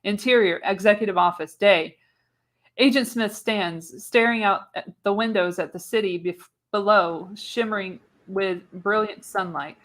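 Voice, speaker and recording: Narrator, woman, mid-pitched: reads scene headings and action lines from a script with dramatic expression, slightly unpolished live delivery. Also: measured pace, a bit bright. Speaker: narrator, show host. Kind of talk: dramatic reading of screenplay stage directions.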